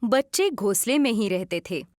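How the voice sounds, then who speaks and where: A woman's voice: mid-range voice, lively intonation, moderate pace, a little mellow, dry recording, studio quality. A woman narrating a scripted story for children.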